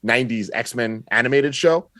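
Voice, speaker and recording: A man's voice: mid-range voice, moderate pace, a bit bright. A man with a mid-range voice discusses pop culture as a guest on a podcast. He explains things with nerdy enthusiasm in casual language. The recording is dry and free of echo.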